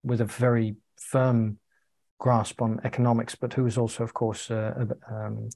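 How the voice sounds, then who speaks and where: A young man from the UK explains things over a video call. He picks his words carefully, with hesitant pauses, in a plain, mid-pitched voice. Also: level tone, vocal fry, a little mellow. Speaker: co-host. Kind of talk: casual video call chat.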